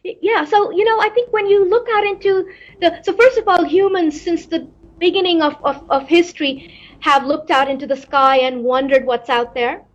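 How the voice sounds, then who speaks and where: High-voiced woman answering with repeated restarts. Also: fairly even intonation, normal speed, muffled recording. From Pakistan. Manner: thoughtful, repeats words while searching for them. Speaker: astrophysicist guest. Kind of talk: interview answers.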